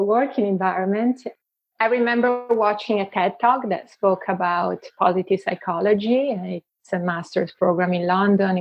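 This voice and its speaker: A woman's voice: medium pitch, dull audio. A woman with an Italian accent, a podcast guest who talks in a reflective way and laughs often. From Italy. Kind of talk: conversational podcast interview.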